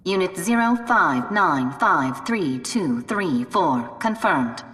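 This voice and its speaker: A woman's voice: on the low side, expressive, slow pace, fairly bright tone. A woman voicing an impassive computer security system, giving automated game announcements in a mechanical, clipped, emotionless manner. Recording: long reverb over faint music.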